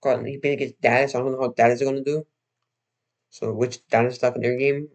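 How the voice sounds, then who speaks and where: A young man hosting a casual livestream, slightly hoarse and losing his voice, talking in a rambling, halting way full of restarts and fillers. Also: medium pitch, flat delivery, darkish tone. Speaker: livestream host.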